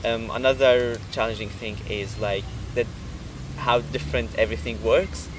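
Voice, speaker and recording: A young man from Syria, a university student, giving measured interview answers with some hesitant fillers. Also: mid-range voice, fairly even intonation. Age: young adult. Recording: distant mic with street noise. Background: street traffic.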